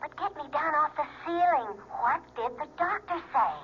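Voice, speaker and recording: A woman's voice: high-pitched, animated, moderate pace, noisy background. An actress playing a chatty, scatterbrained female best friend in a radio drama, talking in a gossipy, hesitant way. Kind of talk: 1970s radio drama acting. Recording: lo-fi old radio broadcast.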